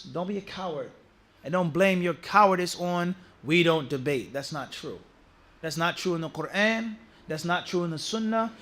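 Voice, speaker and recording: A man's voice: sits high, fairly bright tone. A man giving an Islamic lecture to a congregation, teaching point by point in an emphatic way, with rhetorical questions and repeated phrases. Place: mosque, speaking to a live audience.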